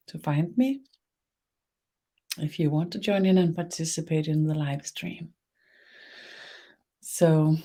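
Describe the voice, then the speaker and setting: A woman from Denmark with a Danish accent and Danish as her first language, hosting a live-streamed spiritual webinar, speaking slowly with many fillers and restarts. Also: fairly low pitch, mellow. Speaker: webinar host, spiritual teacher.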